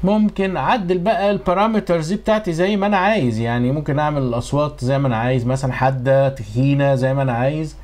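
An Egyptian man in middle age teaches audio production in a casual tutorial style. His voice sits a little high and he talks very quickly, recorded in a small home studio. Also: darkish tone, a little hiss or hum. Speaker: audio engineering instructor.

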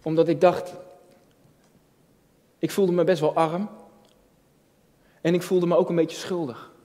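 Mellow young male preacher in a reverberant hall. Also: on the high side, level tone, a little slow. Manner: earnest, repeats phrases for emphasis, rhetorical questions. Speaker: junior pastor. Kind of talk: sermon, personal and reflective. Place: indoor church stage at a lectern, filmed from a distance.

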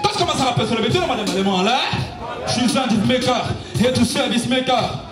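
High-voiced gospel rapper shouting live. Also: male voice, a little fast. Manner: shouted, rhythmic rap delivery with crowd call-outs. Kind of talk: live gospel rap performance. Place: outdoor night concert stage. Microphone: handheld mic. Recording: roomy, distant live concert audio with music. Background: backing music.

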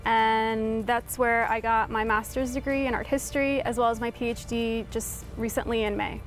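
Fairly high-voiced woman explaining her job. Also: moderate pace, soft background music. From Chile. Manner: friendly, relaxed, explanatory. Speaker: guest curator. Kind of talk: studio interview. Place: dark TV studio.

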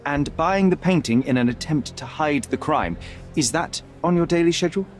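Casting: male actor for a young detective in a video game, slightly high voice, animated inflection, cold, contemptuous, formal. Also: normal speed, soft background music, close to an everyday voice. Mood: sardonic, accusing. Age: young adult. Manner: accusatory, clipped, formal, sardonic. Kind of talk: video game cutscene acting.

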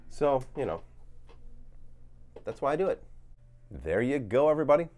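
A man in his forties, a guitar channel host, talking casually through a gear demo in a relaxed, rambling way with lots of fillers and a lingering cough. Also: mid-range voice, normal speed. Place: home studio with guitars on wall.

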